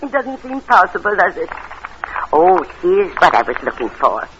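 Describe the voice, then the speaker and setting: A woman's voice: on the low side, very animated, moderate pace, a bit bright. A woman playing a frail, fond, nostalgic old aunt in a 1940s-style radio drama. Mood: fond, reminiscing. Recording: old muffled, noisy radio recording.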